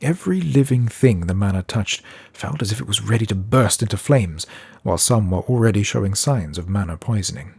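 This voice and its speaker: Low-voiced male fantasy audiobook narrator. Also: gravelly, darkish tone, husky, no echo.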